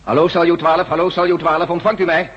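A man's voice: on the high side, little pitch movement, close to an everyday voice. A man playing an impatient, authoritative general at a radio set in a science-fiction radio drama. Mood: calling, insistent. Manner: authoritative, brisk, giving orders. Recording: muffled, noisy old radio drama recording.

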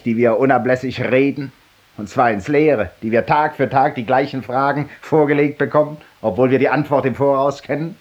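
An actor in a 1950s German radio drama playing a cynical, self-accusing former lawyer who confides in a stranger with theatrical fervour and hush, in a husky voice. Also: male voice, medium pitch, dull audio. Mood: insistent, urgent.

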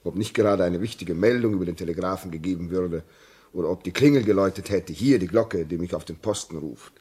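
An actor playing a brooding, dutiful railway signalman in a 1950s German radio drama, speaking gravely and haltingly. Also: low voice, mellow. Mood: reflective, resigned. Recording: muffled-edged 1950s radio drama recording.

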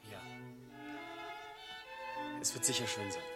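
A man's voice: lowish voice, slow. An actor playing a poor young man in love in a German radio play. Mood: subdued reassurance. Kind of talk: German radio drama acting. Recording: roomy sound under loud string music.

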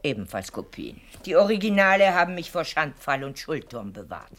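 Deep-voiced, imperious older aunt. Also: female voice, very animated. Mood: dry, pointed. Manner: deliberate, dry, pointed, formal. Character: imperious, calculating, sharp-tongued older aunt. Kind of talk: 1960s German radio play acting.

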